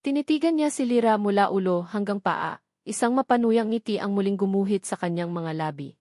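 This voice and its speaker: A Filipino woman narrates a scripted drama with measured, emotive phrasing. Her voice sits at a middle pitch for a woman. The sound is very dry, with hardly any room around it. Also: lively intonation, mellow, no echo. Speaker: story narrator.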